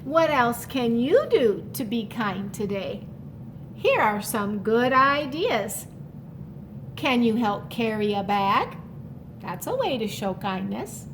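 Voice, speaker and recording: A woman reading a picture book aloud to young children, pausing to explain it simply and speaking to the listener in an encouraging way. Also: on the high side, sing-song, a little hiss or hum. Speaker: storytime reader for children.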